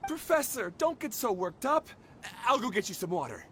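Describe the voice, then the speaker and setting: High-pitched, animated, placating academic. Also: male voice, normal speed, a little hiss or hum. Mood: soothing. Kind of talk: video game voice acting.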